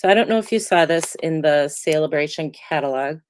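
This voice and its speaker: A middle-aged woman demonstrating card making, chatty and relaxed with many ums, still a little congested from a cold. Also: low voice, fairly quick, slight background noise. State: congested, getting over a cold. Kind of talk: casual crafting tutorial.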